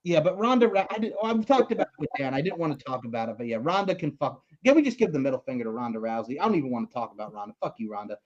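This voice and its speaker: Loud swearing New York man ranting. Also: medium pitch, very fast, hardly any pauses, highs cut off. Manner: loud, animated, swearing, mocking impressions. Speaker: podcast co-host. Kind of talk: profane wrestling podcast rant.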